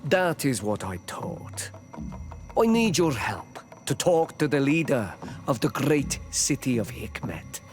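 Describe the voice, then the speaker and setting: A dignified, shrewd island chief in a fantasy game. He talks slowly and courteously, with lively intonation over prominent background music. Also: mid-range voice. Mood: earnest. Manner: deliberate, courteous. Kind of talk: fantasy video game acting.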